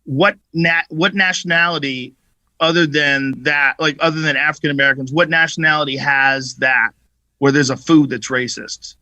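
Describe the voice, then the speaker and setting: Male comic guest, bright voice a touch high, swearing storyteller, dry studio sound. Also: moderate pace, no echo, rough recording. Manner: profane, animated storytelling. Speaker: comedian guest. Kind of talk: casual podcast chat.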